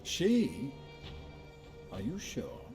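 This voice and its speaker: An actor playing a wry, cautious informant and mentor in a video game, with a knowing, cautioning manner. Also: male voice, medium pitch, huge pitch swings, drawn-out words, over music, full character voice. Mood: wry, intrigued. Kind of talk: video game cutscene acting.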